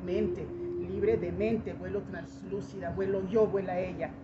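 A woman's voice: mid-range voice, steady tone, a little slow. A woman performing a dramatic poetic monologue in short fragmented phrases, with intense, incantatory theatrical delivery. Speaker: poetry performer. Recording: dull lo-fi recording with loud noise.

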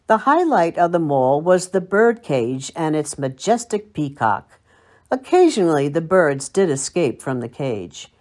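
A woman's voice: sits low, sing-song, a little slow, a little mellow. An older woman with an American accent, from Long Island, narrating a scripted local history piece in a reminiscing, storytelling manner. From Long Island, United States. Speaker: library local history presenter.

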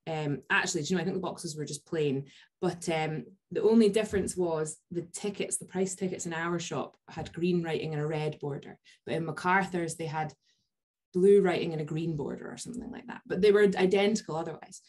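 Low-voiced woman, 30s, podcast co-host, anecdotal, lots of ums, webcam audio. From Scotland. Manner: rambling anecdotes with many fillers. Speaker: podcast co-host from a family bakery. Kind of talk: casual video call chat. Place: indoor office with posters. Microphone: video call webcam.

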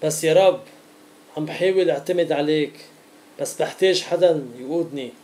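Casting: male lay preacher, Levantine accent, warm dark tone, somewhat higher register, informal devotional teaching. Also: brisk. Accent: Levantine Arabic.

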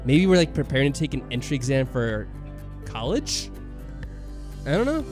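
A man's voice: medium pitch, very animated, normal speed, a little mellow. A man giving casual, jokey gameplay commentary and reading dialogue aloud in a musing way. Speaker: let's play host. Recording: music under the voice. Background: game music.

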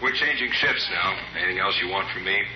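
A man's voice: low-pitched, expressive, fast talker. An actor playing a matter-of-fact, slangy policeman calling in, in a 1950s radio drama. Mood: businesslike. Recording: echoey, muffled, noisy old radio recording.

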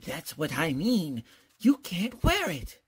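A blustering older male professor from a radio comedy, acted by a woman. The voice is husky and slow, swinging widely in pitch. He stammers, fusses and backpedals in a flustered way. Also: wildly swooping pitch, heavily acted. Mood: fussy, indignant. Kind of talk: old-time radio comedy acting.

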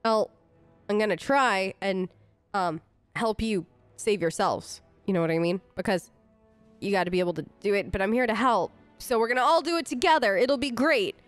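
A woman's voice: mid-range voice, normal speed, no echo, soft background music. A woman role-playing her game character in casual, improvised dialogue, chatty, upbeat and informal.

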